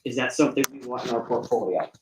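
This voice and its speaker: A man's voice: medium pitch, quick pace, noisy background. A man who works as a bank commercial lender, giving a seminar lecture in a plain, explaining way with many ums and uhs. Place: seminar room.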